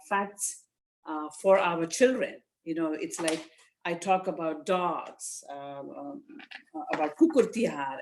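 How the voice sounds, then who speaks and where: Older nepali lady w accent, kinda low voice, earnest parenting advice on a video call, pauses a lot. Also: a little hiss or hum. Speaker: guest speaker, teacher. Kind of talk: informal advice talk to parents. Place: virtual session over video call.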